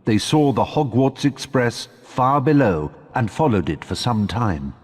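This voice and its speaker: A man narrates a story in a husky, unhurried voice. His pitch sits on the low side but moves with lively expression, delivered as scripted storybook reading. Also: in a large hall.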